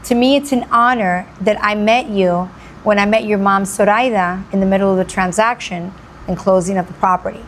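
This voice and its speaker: A woman's voice: medium pitch, fairly even intonation, a little fast, slight background noise. A woman hosting a radio interview, gushing and repeating phrases for emphasis. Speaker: radio show host. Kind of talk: enthusiastic interview.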